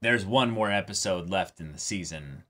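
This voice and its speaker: A man with a very deep yet bright, forceful voice. He talks casually as a reaction-show co-host, working out his thoughts aloud and hedging along the way. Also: normal speed. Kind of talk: casual discussion of an anime episode.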